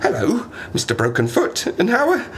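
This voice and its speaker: A man's voice: sits high, wildly swooping pitch, normal speed, background noise. An actor playing a lonely, half-mad plane-crash survivor in an audio drama, rambling and self-mocking as he talks to himself. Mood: self-mocking, rueful. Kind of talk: audio drama monologue, diary-like.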